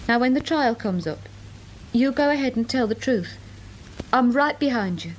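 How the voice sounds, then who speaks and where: Actress as a high-voiced, practical English woman, noisy recording. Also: lively intonation, moderate pace, close to an everyday voice. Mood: reassuring. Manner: no-nonsense, plain-spoken, reassuring. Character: practical, loyal, briskly affectionate female chip shop worker. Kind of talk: British radio drama acting.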